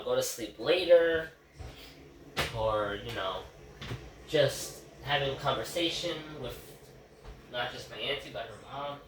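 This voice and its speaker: A man's voice: mid-range voice, background noise. A congested man vlogging a casual, rambling reflection from his kitchen, repeatedly addressing his viewers as guys. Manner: rambling, keeps calling out to "guys". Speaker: vlogger giving a daily reflection. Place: home kitchen. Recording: roomy, distant kitchen recording.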